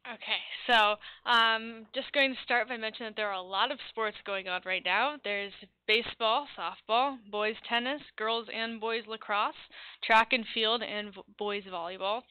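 A teenage girl gives an orderly report on school events in an earnest way. The sound is thin and narrow, like a phone line, with no room echo at all. Her pitch sits at a middle level. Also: moderate pace. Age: teens. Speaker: student representative. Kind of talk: giving a report. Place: meeting room.

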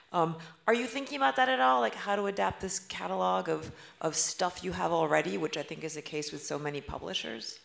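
A woman's voice: deep voice, creaky. A woman in the audience asking the panel a question, casual and full of fillers. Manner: casual, lots of fillers like "you know".

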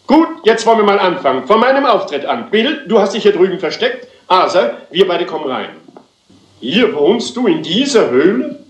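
An actor playing a self-important, theatrical and impatient theatre director in a crime radio play. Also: male voice, high-pitched, very animated, moderate pace. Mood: impatient, dramatic. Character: flamboyant, impatient theatre director rehearsing his own play. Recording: slightly roomy radio drama sound.

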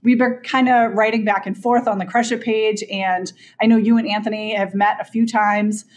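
A woman's voice: medium pitch, steady tone, normal speed. A woman hosting a real estate podcast on a video call, reading an enthusiastic intro and chatting with her guest. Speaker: podcast host. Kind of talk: casual interview chat and scripted intro. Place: office with desks.

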